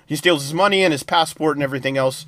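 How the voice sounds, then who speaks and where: A man's voice: a little high, quick pace, a bit bright, no echo. A man co-hosting a casual TV review show, rambling through plot summaries with plenty of fillers. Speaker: podcast co-host.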